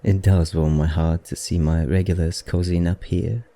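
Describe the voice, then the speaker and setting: Man as a low, gravelly, genteel vampire librarian. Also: little pitch movement. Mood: genial, welcoming. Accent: affected, non-native accent. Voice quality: low, dark, gravelly murmur. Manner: courtly, unhurried, softly teasing. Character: suave, genteel male vampire librarian, softly predatory. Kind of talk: intimate ASMR roleplay.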